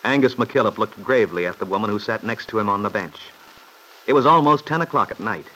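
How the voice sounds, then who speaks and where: A man's voice: lowish voice, expressive, brisk, bright, forceful tone. A man giving scripted narration for an old-time radio serial. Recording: noisy old radio broadcast recording.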